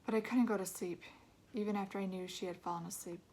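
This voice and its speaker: Expressive woman reading a story aloud. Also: mid-range voice, fast, a little hiss or hum. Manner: expressive, acts out dialogue. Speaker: reader of a children's novel aloud. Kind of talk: read-aloud storytelling in a child's first person.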